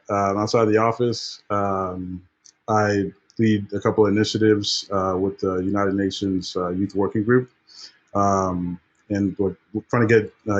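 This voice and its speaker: Man, low voice, flat delivery, hesitant, lo-fi call sound. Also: husky. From Nigeria. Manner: hesitant, many fillers and restarts. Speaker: webinar panelist. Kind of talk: casual introduction. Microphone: video call. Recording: dull lo-fi video call audio.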